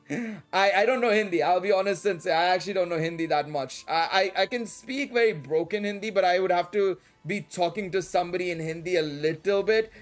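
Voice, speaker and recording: A man's voice: high-pitched, brisk. A man with an Indian English accent that drifts toward British and American, chatting casually and in a rambling, slangy way as he streams a game. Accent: shifting Indian English with British and American touches. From Dubai and India. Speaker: live streamer. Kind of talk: casual livestream chat during gameplay. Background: music.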